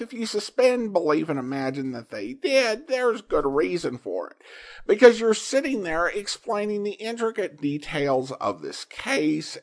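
A man hosts a podcast with unscripted solo commentary. His voice sits a little high and swings widely in pitch. He speaks slowly and haltingly, reflecting and digressing as he goes. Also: a bit bright. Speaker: podcast host.

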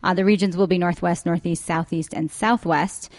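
Woman, podcast host, somewhat low pitch, chatty, lots of ums. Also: steady tone, moderate pace, darkish tone. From Denver. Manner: chatty, many ums and uhs. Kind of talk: casual podcast chat. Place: downtown clock tower.

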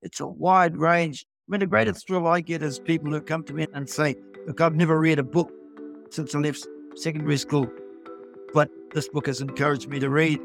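A man's voice: a little high, steady tone, normal speed, creaky, soft background music. A man talking conversationally as an author in an interview.

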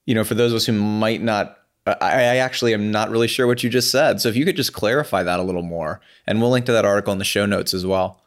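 A man hosting a podcast, asking careful, hedging follow-up questions. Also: mid-range voice. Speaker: podcast host. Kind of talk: interview questions.

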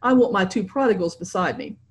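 A woman's voice: medium pitch, a little fast, lightly acted. A jovial older stepfather who blusters and grumbles good-naturedly, as a woman reads his part. He sounds hearty and bossy in this storybook scene. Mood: blustery.

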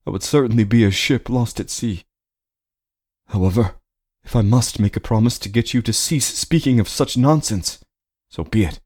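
A man doing a gentle, tender young husband. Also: medium pitch, moderate pace, pitched above the performer's own voice, close to an everyday voice. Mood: reassuring. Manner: tender, reassuring.

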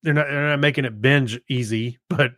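A man co-hosting a podcast, chatting casually and haltingly as he thinks aloud. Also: medium pitch, steady tone, a bit bright, no echo, clean studio sound. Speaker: podcast co-host. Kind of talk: casual chat.

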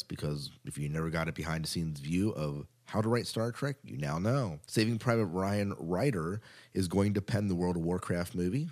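Very low-pitched American man, chatty podcast host. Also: a little mellow. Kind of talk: casual podcast chat and show rundown.

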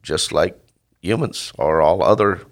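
A middle-aged man from the Netherlands speaks with a Dutch accent and a very deep voice. There is a gravelly fry in it. He answers interview questions haltingly, full of "uh" and restarts. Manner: halting, many fillers and restarts. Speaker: dairy farmer, guest.

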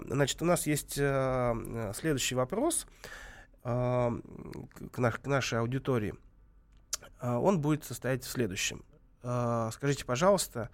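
A man hosting live radio from a studio, fighting off a cold. His voice sits in an ordinary middle register. He hesitates often and fills the gaps with drawn-out filler sounds. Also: medium pitch. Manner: halting, many filler sounds. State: has a cold. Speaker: radio host.

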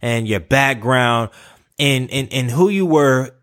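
A man's voice: medium pitch, animated, slow pace, a bit bright. A man hosting a casual interview podcast, talking in an informal, chatty way. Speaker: podcast host interviewing a guest.

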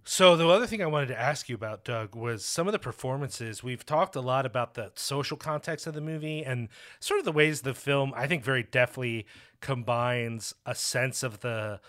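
A man co-hosting a casual film discussion, animated and swearing, acting out quotes in mocking voices. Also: medium pitch, normal speed. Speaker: film podcast co-host.

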